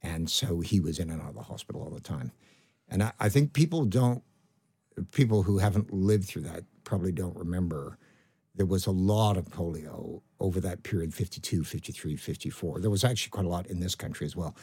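Low husky Canadian man in his seventies. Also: brisk, dry recording. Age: 70s. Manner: rambling with restarts, trailing off quietly. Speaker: guest, medical professor. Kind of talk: conversational interview.

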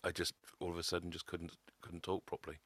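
A man telling his own story as a podcast guest, halting often with a stammer. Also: sits low, completely flat delivery, a bit bright, husky, dry recording. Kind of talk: personal testimony.

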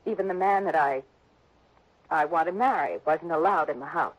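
An actress playing a defiant, headstrong young adopted heiress in a 1960s radio drama. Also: female voice, low-pitched, normal speed. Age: young adult. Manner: headstrong, defensive. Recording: dull lo-fi old radio broadcast.

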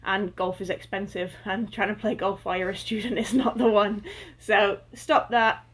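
Young female athlete guest, chatty and relaxed, mid pitch, remote call mic. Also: moderate pace, husky. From Northwest England. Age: 20s. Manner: chatty, lots of fillers, laughs easily. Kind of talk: casual interview chat.

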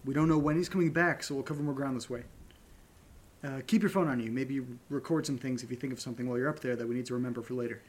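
A man's voice: mid-range voice, steady tone, very fast, close to an everyday voice. A man playing a skeptical, bossy, sensible amateur investigator with a blunt, matter-of-fact delivery in an audio drama. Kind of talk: naturalistic horror-comedy audio drama dialogue.

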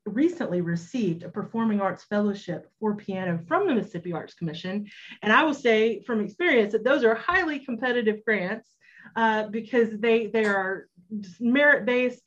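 A woman hosts a weekly arts radio show, welcoming her guest in a friendly, chatty way at a middle pitch. The recording sounds dull and lo-fi. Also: lively intonation, moderate pace. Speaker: radio host. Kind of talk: radio interview.

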